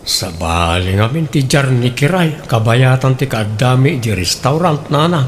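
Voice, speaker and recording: A man's voice: medium pitch, animated, measured pace, slight background noise, lightly acted. An actor playing an awkward, anxious young man in an Ilocano comedy radio drama, with a Filipino Ilocano accent. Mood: pleading.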